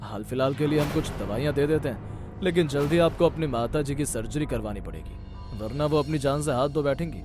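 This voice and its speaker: A man voicing a grave, frank hospital doctor in a cartoon story, speaking in a measured, formal way. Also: medium pitch, animated, over music, natural voice. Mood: frank warning.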